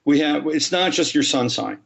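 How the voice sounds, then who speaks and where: A man's voice: fairly high pitch, lively intonation, normal speed, gravelly, dull audio. A man, an astrologer guest on a podcast, explaining his subject methodically and step by step. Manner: methodical, talks through examples step by step.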